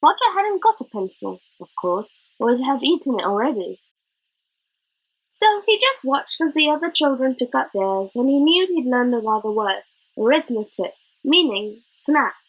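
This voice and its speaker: A woman reading a children's novel aloud as narrator, in an expressive storybook style. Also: high-pitched, normal speed, low-quality recording.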